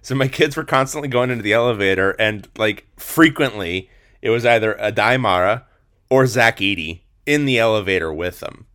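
A man in his thirties hosting a casual sports podcast, slightly hoarse, rambling with lots of fillers and restarts. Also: on the low side, lively intonation, moderate pace, fairly bright tone. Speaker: podcast host and sports reporter.

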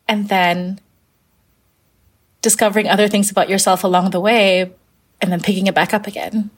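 A young woman from Malaysia talks about her work in a relaxed, reflective way. She stops and restarts often, feeling for the right words, at an ordinary middle pitch. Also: normal speed, husky. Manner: thoughtful, many fillers and restarts. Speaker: fashion designer guest. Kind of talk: casual interview answers.

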